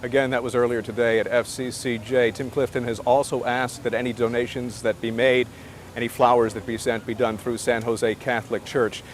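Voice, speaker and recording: Male news anchor, American accent, brisk delivery, mid-pitched, live field broadcast, slight outdoor noise. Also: little pitch movement. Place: outdoors in a residential neighborhood. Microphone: handheld broadcast microphone.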